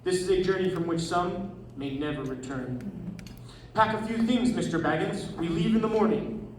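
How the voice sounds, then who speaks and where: A man's voice: sits high, normal speed, natural voice. A man playing a proud, commanding dwarf leader, grave and formal, in a readers theatre performance. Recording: echoey room from far off, noisy.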